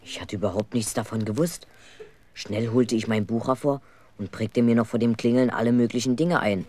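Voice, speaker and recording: A man's voice: medium pitch, steady tone, normal speed, darkish tone, no echo, lightly acted. An actor playing an earnest, aggrieved schoolboy who tells his own story in a 1970s German children's radio play. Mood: earnest storytelling.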